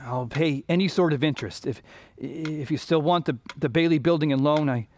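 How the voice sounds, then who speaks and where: A man playing a desperate, short-tempered family man in an amateur radio drama, halting and stammering. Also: on the high side, a little mellow, slight background noise, lightly acted. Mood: anxious pleading.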